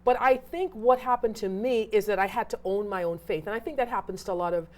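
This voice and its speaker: A woman's voice: medium pitch, fast. A woman from Trinidad, a reverend and author, talking earnestly and reflectively as an interview guest, often restarting her sentences. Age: adult who arrived as a child over thirty years ago. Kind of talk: casual interview conversation.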